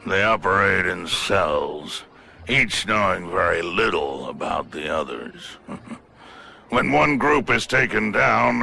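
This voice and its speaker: A man playing a smug, contemptuous middle-aged tycoon in a video game, speaking in a pompous, formal, deliberate manner. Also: low-pitched, vocal fry, bright, forceful tone, a little hiss or hum, exaggerated voice. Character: smug, contemptuous male crime-fighting tycoon with menacing self-importance. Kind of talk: dramatic video game cutscene acting.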